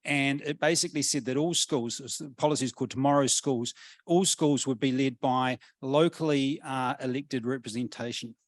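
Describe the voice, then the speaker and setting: A man's voice: medium pitch, steady tone, normal speed, dry recording. Man, New Zealand accent, middle-aged, academic panel speaker, hesitant fillers, dry close recording. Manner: thoughtful, many fillers and restarts. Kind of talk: panel discussion talk.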